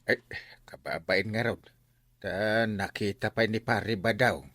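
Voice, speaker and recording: A man's voice: fairly low pitch, measured pace, a bit bright, no echo, studio quality. A man acting a lazy, sleepy, grumbling village captain in a radio comedy drama, with an Ilocano accent. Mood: sheepish.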